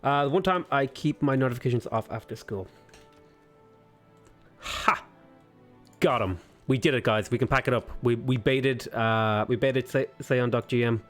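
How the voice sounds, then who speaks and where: A man's voice: mid-range voice, moderate pace, soft background music. Playful man in his twenties streaming. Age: 20s. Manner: playful, joking, reads chat aloud. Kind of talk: casual livestream commentary, reading chat.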